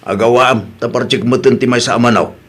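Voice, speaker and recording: A man's voice: medium pitch, fairly even intonation, moderate pace, a bit bright, lightly acted. A man as a folksy Ilocano father-in-law. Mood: cheerful, scheming. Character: easygoing rural father-in-law, fond of chicken. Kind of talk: Ilocano audio drama acting.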